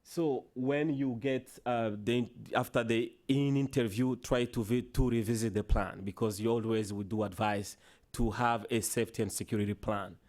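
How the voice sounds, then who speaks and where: A man whose first language is Swahili gives a conference presentation with slides, in a halting way full of restarts and "you know" fillers. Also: mid-range voice, fairly even intonation, a little mellow. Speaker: conference panelist. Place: conference hall.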